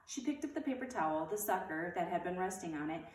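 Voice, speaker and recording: A woman with a slightly low voice reads a children's story expressively. She is a little distant from the mic, in a room with a clear echo. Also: expressive, fast, darkish tone. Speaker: read-aloud storyteller.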